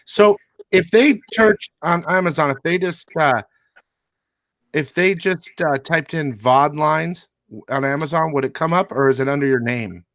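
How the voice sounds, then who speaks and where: A man hosting a casual interview, asking questions in a relaxed, joking way. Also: on the high side, measured pace, no echo. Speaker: interview host.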